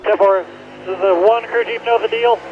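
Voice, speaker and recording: A man's voice: high-pitched, fairly even intonation, normal speed. A man on a race team, speaking in terse phrases over team radio. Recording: muffled, noisy race radio with engine noise.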